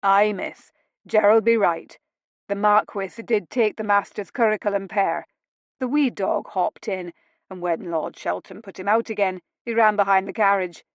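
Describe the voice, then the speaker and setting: Woman voicing a young male groom, cheeky, loud dialect, dry. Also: mid-range voice, fairly even intonation, normal speed, no echo, dull audio, close to an everyday voice. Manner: bold, cheerful dialect speech.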